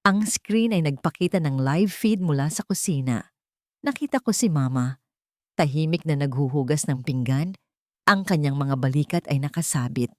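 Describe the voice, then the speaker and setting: A woman narrates a scripted drama in the first person. Her voice sits low, and the sound is dry, with very little room to it. She delivers the text as a storyteller. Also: animated, moderate pace, no echo.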